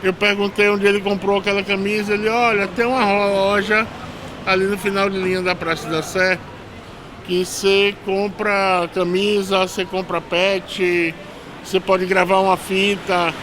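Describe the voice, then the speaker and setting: A man's voice: high-pitched, level tone, a little slow, noisy background. A man in his fifties from Salvador, Brazil, telling his life story plainly in a documentary interview, with casual swearing. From Salvador, Bahia, Brazil. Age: 50s. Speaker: music producer.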